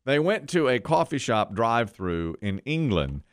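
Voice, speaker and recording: Male radio host, middle-aged, mid-pitched and very lively in intonation, casual, chuckly, repeats phrases for emphasis. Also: moderate pace, no echo, studio quality.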